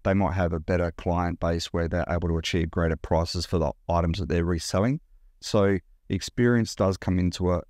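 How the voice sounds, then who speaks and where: A man in his forties with an Australian accent, talking straight to camera about reselling in a blunt, animated way. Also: deep voice, no room sound, studio quality. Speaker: reseller vlogger. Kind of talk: direct-to-camera vlog talk. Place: indoor room by large window.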